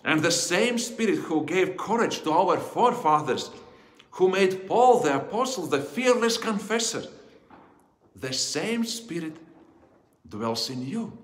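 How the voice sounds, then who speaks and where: A man in his forties preaching a sermon as a pastor, solemn and deliberate, phrase by phrase, in a church. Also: high voice, sing-song. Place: indoors in a church, before the altar. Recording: echoey church hall with long reverb.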